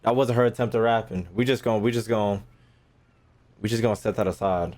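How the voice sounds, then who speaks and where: Low-voiced slangy male gaming streamer. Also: fairly even intonation, fast talker, darkish tone. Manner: slangy, repeats phrases, sweary. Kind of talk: casual gameplay commentary. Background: game music.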